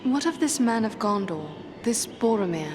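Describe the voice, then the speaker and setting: A woman's voice: fairly high pitch, animated, moderate pace, a little mellow, slight background noise, close to an everyday voice. A woman playing an earnest, dignified elf adventurer in a fantasy game, speaking in a formal, composed way. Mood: curious. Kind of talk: fantasy game dialogue acting.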